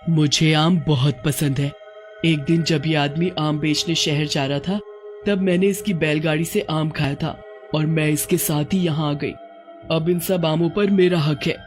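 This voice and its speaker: A woman's voice: deep voice, fairly even intonation, a little slow, darkish tone, faint music behind. A woman voicing a greedy, possessive witch in a cartoon horror story, with an indignant manner. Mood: defiant. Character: greedy, possessive female witch obsessed with mangoes.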